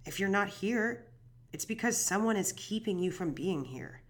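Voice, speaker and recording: A woman's voice: medium pitch, expressive, moderate pace, close to an everyday voice. An actress playing a young woman true crime podcast host, talking in an anxious, rambling, swearing, self-deprecating way. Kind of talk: audio drama monologue.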